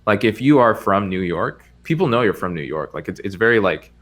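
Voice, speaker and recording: A young man with a deep, low-pitched voice and an American accent, whose first language is Spanish. He talks as a podcast guest in a loose, casual way, wandering through his points with plenty of "like" and "um". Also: sing-song, brisk, a bit bright. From United States. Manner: rambling, lots of "like" and "um" fillers. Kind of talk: casual chat.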